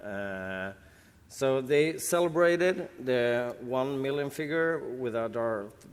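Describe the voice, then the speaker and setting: A man from Sweden with a Swedish accent, talking casually on a convention panel, halting and restarting as he searches for words. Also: medium pitch, animated, clear, resonant tone. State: jet-lagged. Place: large convention hall. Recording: reverberant hall.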